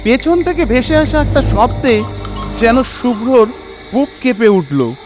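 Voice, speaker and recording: A man narrating a scripted horror story in an expressive, suspenseful, dramatic way. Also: extremely high pitch, unhurried, music in the background.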